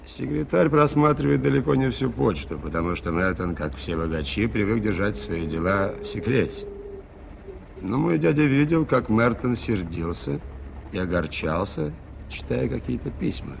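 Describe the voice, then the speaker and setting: An actor playing an earnest, skeptical aviator in a radio play, with a gravelly voice and a measured, formal, dryly ironic manner. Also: male voice, fairly low pitch, big pitch swings, full character voice. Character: earnest, skeptical gentleman aviator recounting a mystery. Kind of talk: Soviet radio play acting. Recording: faintly noisy 1980s radio recording.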